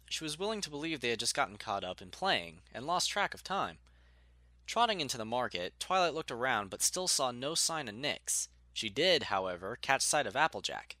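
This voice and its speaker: A man narrating a scripted story in an expressive storytelling manner. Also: medium pitch, dry recording.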